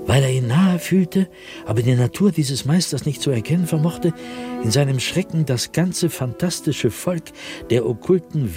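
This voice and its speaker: An actor playing a haunted, fearful diarist sliding into madness in a dramatic, intense radio drama monologue, at times breathy and whispering. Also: male voice, on the low side.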